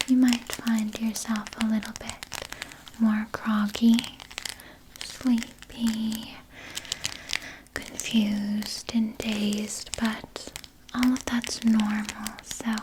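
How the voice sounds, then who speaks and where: A woman voicing a sly, doting vampire nurse in a breathy, soothing whisper for an ASMR roleplay. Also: on the high side, noisy background. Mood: soothing, reassuring. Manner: slow, soothing, coaxing, softly articulated. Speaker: ASMR performer.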